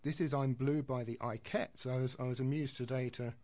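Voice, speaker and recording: A man who is a guest on a radio show introduces his track picks, chatting and thinking aloud as he goes. His voice has an ordinary mid pitch. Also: steady tone, brisk, dark tone. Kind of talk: casual radio chat.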